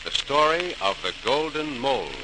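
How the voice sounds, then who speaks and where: A man's voice: medium pitch, sing-song, measured pace, loud music behind the voice. A man narrating a scripted story as a 1940s radio host, formal and dramatic. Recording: noisy, crackly 1940s radio transcription.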